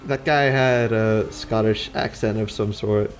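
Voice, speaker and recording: A man's voice: lowish voice, steady tone, a little slow. A man giving casual, conversational commentary over video game play. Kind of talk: casual gameplay commentary chat.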